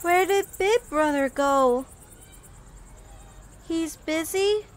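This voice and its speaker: Tiny, very high-voiced innocent orphan boy. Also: drawn-out words, a little hiss or hum, exaggerated voice. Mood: worried, pleading. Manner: childlike, hesitant, earnest. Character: innocent, polite little orphan boy looking for lost Fluffle. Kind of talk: audio roleplay acting.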